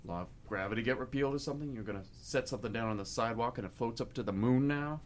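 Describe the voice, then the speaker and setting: A man's voice: mid-range voice, rapid-fire, slight background noise, natural voice. A man acting in a comic radio play as a sardonic, unimpressed policeman, dry and sceptical in manner. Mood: sarcastic, stern.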